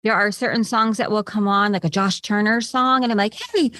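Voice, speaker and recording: A woman co-hosting a podcast in casual chat, bubbly and lively. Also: brisk, dry recording, studio quality. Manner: bubbly, lively, jumps up in pitch. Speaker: podcast co-host.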